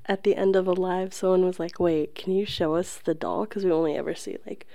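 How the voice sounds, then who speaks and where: A woman's voice: fairly low pitch, fairly even intonation, a little fast, warm tone, no room sound, studio quality. A woman co-hosting a podcast in casual comedic chat, candid and often amused, swearing freely. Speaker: podcast co-host.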